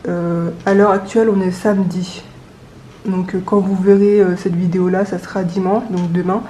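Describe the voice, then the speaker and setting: A young adult woman with a cold and a stuffy nose, chatting casually to camera, with many hesitant pauses. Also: on the low side, little pitch movement, warm tone, reverberant, slight background noise. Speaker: budgeting vlogger.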